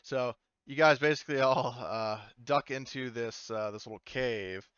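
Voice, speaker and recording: A man's voice: medium pitch, normal speed, fairly bright tone, clean tone, no room sound, highs cut off. A man game master improvising his narration of an online tabletop game, hesitantly thinking aloud and swearing casually.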